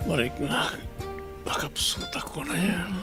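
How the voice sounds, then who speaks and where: A man playing a despairing, suffering patient in a radio drama, with strained breathy voice and labored pleading. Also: high-pitched, big pitch swings, measured pace, over music, full character voice. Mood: pained, despairing.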